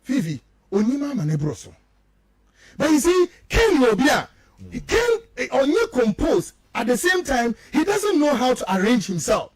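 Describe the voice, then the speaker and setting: A man's voice: very high-pitched, huge pitch swings, normal speed, dry recording. A Ghanaian man giving emphatic, repetitive political commentary as he switches between two languages, full of rhetorical turns.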